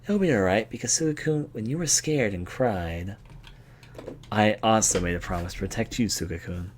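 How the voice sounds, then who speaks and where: A man voicing an earnest, protective young female student in a video game, pleading and halting as he reads the dialogue aloud. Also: fairly low pitch, a little hiss or hum, lightly acted. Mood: gently reassuring.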